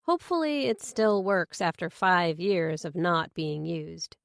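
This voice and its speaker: A woman's voice: fairly low pitch, sing-song, a little slow, gravelly, no echo, studio quality. A woman reading a scripted first-person thriller audiobook as its narrator.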